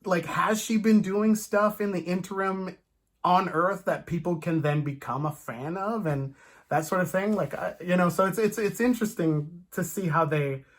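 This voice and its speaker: A podcast host chatting casually as a fan, in an animated, rambling, stop-start way with playful asides. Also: medium pitch, moderate pace. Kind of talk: casual comic book fan chat.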